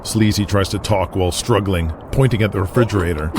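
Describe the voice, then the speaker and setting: A man's voice: low voice, a little fast, a little hiss or hum. A man narrating a scripted audio drama as its announcer, in a dramatic storytelling style. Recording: music bed behind voice. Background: guitar music.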